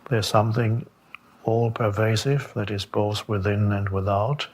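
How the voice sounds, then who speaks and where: Male spiritual teacher for a meditation talk: somewhat deep, breathy-rough tone, reflective delivery, lingering pauses, gentle questioning. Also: little pitch movement. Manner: contemplative, halting with long pauses, gently questioning.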